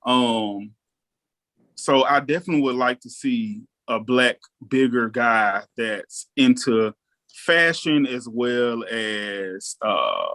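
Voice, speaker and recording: A man in his thirties chatting casually on a podcast, thoughtful and relaxed, often pausing with ums. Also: medium pitch. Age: 30s. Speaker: guest co-host.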